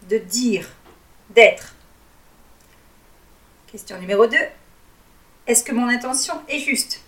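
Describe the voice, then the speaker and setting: A calm, measured woman coach whose first language is Arabic, with a fairly high voice. She guides listeners through a reflective exercise at an unhurried pace and keeps posing questions to them. The voice sounds a little distant from the microphone. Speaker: life coach.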